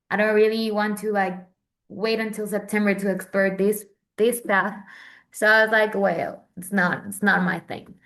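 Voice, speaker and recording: Chatty young woman. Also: mid-range voice. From Central America. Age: 20s. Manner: chatty, with many fillers and restarts. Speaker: Vatican correspondent. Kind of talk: casual informal talk.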